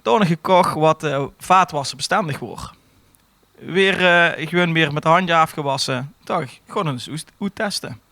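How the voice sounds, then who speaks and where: A man with a slightly high voice chats casually as a podcast host. He tells his story haltingly and colloquially, recorded close and dry. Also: gravelly, bright, forceful tone, no echo. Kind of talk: casual anecdote in podcast chat.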